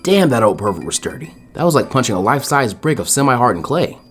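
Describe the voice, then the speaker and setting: A man reading fan fiction as an expressive narrator. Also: mid-range voice, quick pace. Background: faint music.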